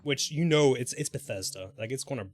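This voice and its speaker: A man's voice: mid-range voice, a little fast. A man chatting casually and informally on a live gaming stream, speculating in a rambling way. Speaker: game livestreamer. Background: background music.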